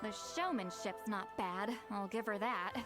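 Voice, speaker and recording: A woman's voice: mid-range voice, unhurried, over loud music, natural voice. A woman voicing a confident, shrewd and teasing young female companion in a video game, wry in her delivery. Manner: self-assured, wry.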